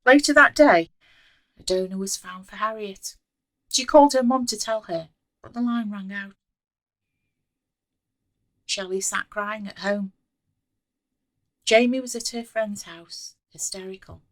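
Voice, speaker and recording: A woman author reading her own story aloud in an expressive scripted narration. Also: mid-range voice, unhurried, long pauses, no room sound. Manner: expressive, acts out the dialogue.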